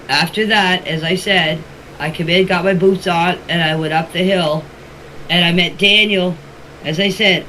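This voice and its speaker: A woman's voice: on the low side, a bit bright. Casting: mature woman, plain-spoken and colloquial, recounting events in order, homemade voice-message sound with some noise. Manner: plain, step-by-step telling in colloquial grammar. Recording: noisy voice-message clips.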